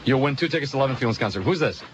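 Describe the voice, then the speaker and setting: A man hosting a morning radio show, bantering quickly with callers in a phone-in contest. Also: mid-range voice, expressive, soft background music. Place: radio studio. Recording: dull, noisy AM radio aircheck.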